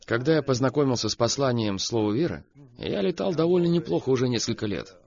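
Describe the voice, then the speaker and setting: Animated man telling stories, lo-fi sound. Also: mid-range voice, quick pace, vocal fry. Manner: animated storytelling about flying. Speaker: preacher and show host.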